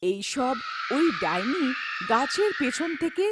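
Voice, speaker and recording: A woman's voice: high-pitched, sing-song, slow, very noisy. A woman narrating a scripted children's horror folktale as the storyteller.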